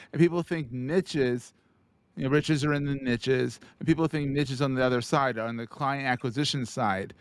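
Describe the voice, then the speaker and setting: A man's voice: medium pitch, clean tone, no room sound. A man hosting a podcast, chatting casually and upbeat with his guest. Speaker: podcast host. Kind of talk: casual interview chat.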